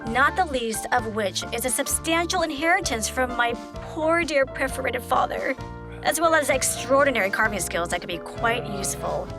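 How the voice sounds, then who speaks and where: A woman's voice: high-pitched, expressive, moderate pace, music in the background. A woman playing a prim, sly and menacing spinster heiress in an audio play, with haughty, ornate phrasing. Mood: haughty, purposeful. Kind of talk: theatrical audio play acting.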